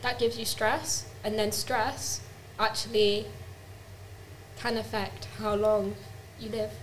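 Woman, raspy, middling pitch, agreeable short replies. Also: flat delivery, takes it slowly, a little hiss or hum, low-quality recording. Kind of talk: casual chat.

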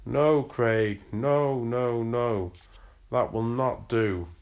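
A bluff, overbearing northern dad, as a man puts him on, his voice pushed up higher and louder than the reader's own. He talks over people with hearty, bossy confidence, all Northern English vowels. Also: very slow. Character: blunt, pushy middle-aged northern dad.

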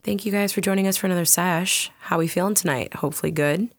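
A woman co-hosting a casual podcast, chatty and upbeat, with a soft soothing voice that often breaks into giggles. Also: on the low side, quick pace. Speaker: podcast co-host.

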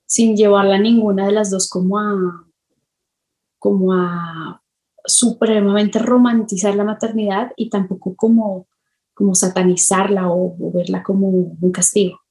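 A woman with a soft-edged, mellow voice at a medium pitch. She talks as a guest in a reflective interview, pausing often to find the right word. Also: steady tone. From Colombia. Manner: thoughtful, halting, searching for words. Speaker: illustrator, podcast guest.

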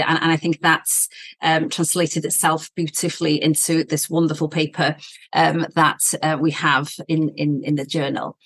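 A woman's voice: deep voice, fairly bright tone. A woman hosting an academic podcast, asking long questions with encouraging remarks and many fillers. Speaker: podcast host, professor.

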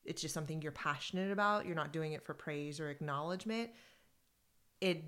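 A woman with a slightly low voice, co-hosting a podcast in casual conversation. She tells her stories in an animated way, breaking into laughter often. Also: a little fast. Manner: animated storytelling with frequent laughs. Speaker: podcast co-host. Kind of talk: casual conversation about workplace groups.

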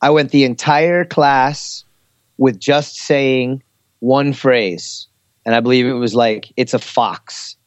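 A man chatting casually and giving teaching advice, explaining things in a hesitant, halting way. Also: medium pitch, fairly even intonation, no echo. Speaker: English teacher in Korea, talk show speaker. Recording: slightly dull, lo-fi audio.

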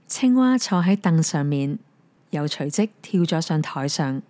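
A woman with a Hong Kong Cantonese accent gently narrating a fairy tale. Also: deep voice, a little slow, no room sound. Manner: gentle, even storytelling. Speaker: storyteller. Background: soft piano music.

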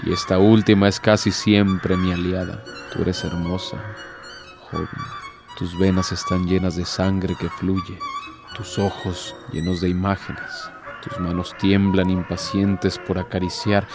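A man acting a suave, low-voiced ancient Devil. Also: little pitch movement, unhurried. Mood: sly, seductive. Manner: formal, courtly, insinuating speech. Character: ancient courtly Devil, suave, sly and despairing male tempter. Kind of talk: theatrical radio drama acting. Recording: music behind the voice.

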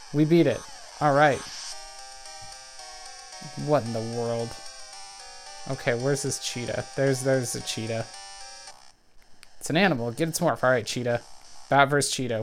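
A man's voice: mid-range voice, low-quality recording. A man giving casual running commentary on a video game, calling out every move and the health numbers as he plays. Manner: excitable, narrates every move and health count aloud. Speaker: let's play gamer.

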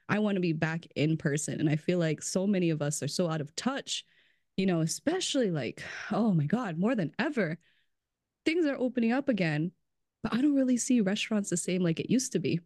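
A woman's voice: mid-range voice, no echo. Woman guest, loose chatty delivery peppered with "like," close and dry sound. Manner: chatty, fills speech with "like", laughs often. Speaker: brand strategist guest. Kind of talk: casual podcast chat.

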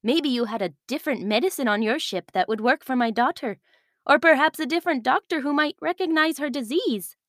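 A woman's voice: high voice, expressive, fairly quick, dry recording, studio quality, voice pushed up. A woman voicing an earnest, emotional island village leader, a grown woman, in a children's storybook reading. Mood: pleading.

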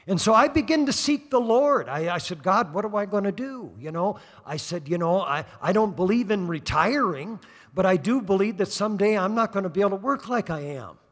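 Older preacher man with a high voice. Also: big-room sound. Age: 60s. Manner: preaching with frequent "praise God" interjections; rising to fervent exhortation. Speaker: pastor. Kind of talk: sermon. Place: church service.

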